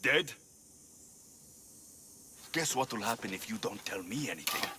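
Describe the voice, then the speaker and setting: A man playing a wry, commanding mercenary in a video game cutscene, gruff in manner. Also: lowish voice, lively intonation, measured pace, heavy background noise. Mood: menacing.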